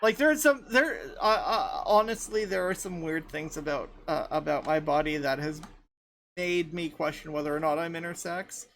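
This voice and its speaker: A woman in her thirties in casual group chat, light voice without chest resonance, talking haltingly with many restarts. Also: low voice, fairly bright tone. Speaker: podcast panelist.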